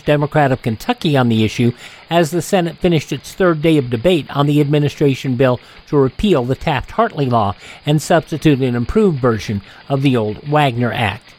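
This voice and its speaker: A man with an American accent reading scripted news and programme announcements as a radio host, in measured phrases. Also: a little high, poor audio.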